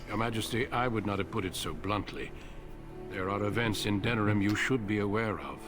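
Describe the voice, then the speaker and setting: A man's voice: fairly low pitch, music in the background, natural voice. Solemn seasoned warrior leader, voiced by a man. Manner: measured, solemn. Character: grave, seasoned older male warrior leader.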